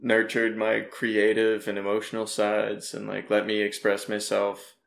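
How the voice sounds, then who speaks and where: Laid-back young Canadian guy, gravelly voice, chatty and loose, in an echoey room. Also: lowish voice, monotone, unhurried, fairly bright tone. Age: young adult. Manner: laid-back, laughs often, lots of fillers. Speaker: podcast guest, comedian and actor. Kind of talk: casual podcast chat.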